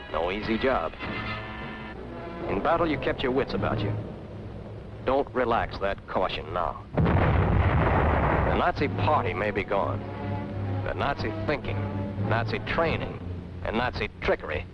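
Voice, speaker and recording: An American man reading a scripted 1940s wartime documentary narration in a stern, emphatic way, with clipped dramatic phrasing. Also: fairly low pitch. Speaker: propaganda film narrator. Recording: dull 1940s archive film soundtrack, music.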